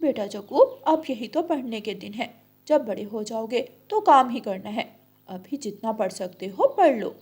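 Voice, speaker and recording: Cartoon mother bird played by a woman, higher register, patient, gentle, coaxing and motherly. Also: animated, moderate pace, breathy edge.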